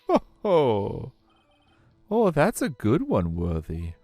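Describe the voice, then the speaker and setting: A man doing a smug booming police chief. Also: wildly swooping pitch, slow, darkish tone, dry recording, faint music behind, exaggerated voice. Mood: amused. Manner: theatrical, mocking, condescending. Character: smug imposing middle-aged male police chief.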